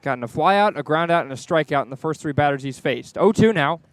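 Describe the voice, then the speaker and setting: A man's voice: a little high, animated, brisk, clean tone, no room sound. A man calling live baseball play-by-play on the radio from the ballpark. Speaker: play-by-play sports announcer. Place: outdoors at a baseball stadium.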